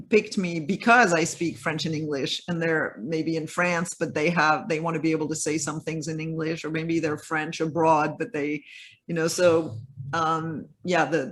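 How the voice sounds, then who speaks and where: A man being interviewed over a video call, his voice sitting slightly high. He talks casually and hesitantly, circling back with fillers before finishing a thought. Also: fairly bright tone. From Belgium or United States. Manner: hesitant, many fillers and restarts. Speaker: interview guest. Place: home in a French village.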